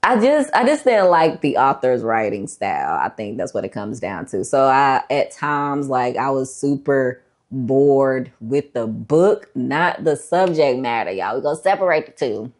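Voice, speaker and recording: A woman's voice: a bass voice, very animated, measured pace, a little mellow. A woman talking casually as a book review vlogger, conversational and emphatic, repeating herself to make a point.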